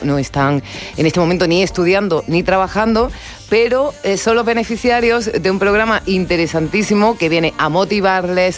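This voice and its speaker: A woman radio host presenting and interviewing in a conversational style. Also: fairly low pitch.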